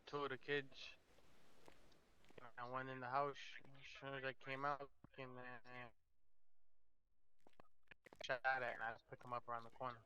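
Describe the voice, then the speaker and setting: A man's voice: medium pitch, completely flat delivery, moderate pace, long pauses, pushed and bright. A man improvising a gangster crew member in a casual role-play chat. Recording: dull lo-fi mic, background noise.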